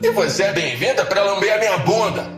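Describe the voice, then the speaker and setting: A crude, mocking Brazilian customer from a horror audio story, acted out by a male narrator. The voice is angry and pushed high above normal talk. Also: lively intonation, fairly quick, roomy sound, faint music behind.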